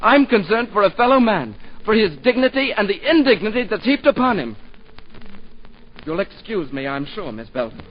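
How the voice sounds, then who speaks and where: In a muffled old radio play, a hot-headed young student who sneers and preaches about class, his high voice swooping widely with every jab. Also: sing-song, moderate pace. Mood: indignant. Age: young adult. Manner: sarcastic, indignant, theatrical. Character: young man back from university, angry idealist. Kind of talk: 1940s radio acting. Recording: dull lo-fi 1940s radio broadcast.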